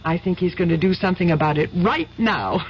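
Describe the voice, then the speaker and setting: An actress playing an adoring, eager fiancée in a 1970s radio drama, gushing and coaxing. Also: female voice, lowish voice, brisk, lightly acted. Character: adoring, eager fiancée of a lawyer, boss's daughter. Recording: dull, noisy 1970s radio broadcast.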